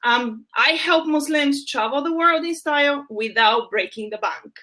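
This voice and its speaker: A woman from Bulgaria talks as a guest in an easygoing chat, enthusiastic and full of fillers. Her voice is high and bright. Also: a little slow. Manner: enthusiastic, warm storytelling with many fillers. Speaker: travel blogger guest. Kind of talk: casual chat.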